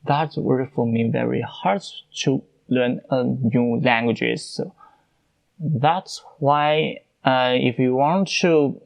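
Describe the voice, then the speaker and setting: Slow, halting man. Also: medium pitch, vocal fry, dull audio. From China. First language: Mandarin. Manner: halting, searching for words, repeats himself. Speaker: podcast host. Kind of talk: unscripted solo podcast talk.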